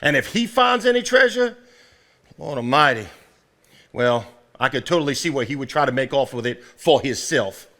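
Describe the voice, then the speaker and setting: An actor playing a gruff, skeptical country man in a live stage play, with folksy, dismissive phrasing. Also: medium pitch, lively intonation, moderate pace, bright, forceful tone. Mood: distrustful.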